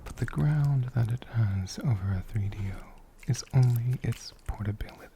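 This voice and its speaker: A man doing soft-spoken, whispered ASMR in a gentle, deliberate manner, close to a condenser mic. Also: medium pitch, gravelly, slight background noise. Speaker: ASMR creator answering viewer questions. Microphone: USB condenser mic, close up.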